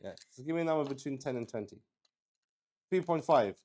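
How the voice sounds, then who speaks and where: A man hosting a solo tabletop roleplaying game in casual, halting live-stream chat, thinking aloud and repeating words. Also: mid-range voice, animated, darkish tone. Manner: swears casually.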